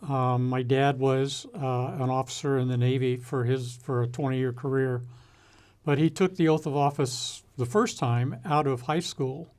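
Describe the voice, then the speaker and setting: Interview guest: older American man, mid-range voice, thoughtful and measured, pausing often as he reflects. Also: mid-range voice, fairly even intonation, a little mellow. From United States. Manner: measured, halting delivery with deliberate pauses. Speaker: interview guest, retired executive and former naval officer.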